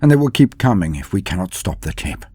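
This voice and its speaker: A man narrating a dramatic first-person war story as a grim, brooding space marine commander, grave and deliberate, with theatrical pauses. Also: low voice, big pitch swings, mellow, slightly rough, dry recording. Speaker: lore channel narrator.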